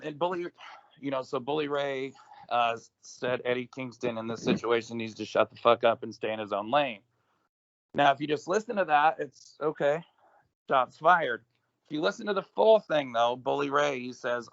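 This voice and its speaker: Raspy gravelly guy cohosting a wrestling pod, lots of ums, dull dry audio. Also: medium pitch, a bit bright, no echo. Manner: rambling, many ums and restarts. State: hoarse from smoking. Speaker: podcast co-host. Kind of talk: casual wrestling chat.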